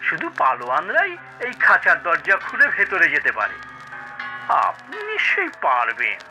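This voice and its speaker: A man voicing a sly, flattering circus worker in a cartoon, with a wheedling, mocking manner. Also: a little high, moderate pace, heavily acted, poor audio. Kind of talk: cartoon voice acting. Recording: music behind the voice.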